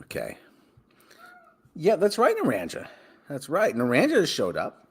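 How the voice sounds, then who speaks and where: A man's voice: a little slow, slightly rough. A man hosting a casual live-stream podcast, chatting loosely before a reading. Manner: lively, chatty, talks baby talk to his cat in a high voice. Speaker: podcast host.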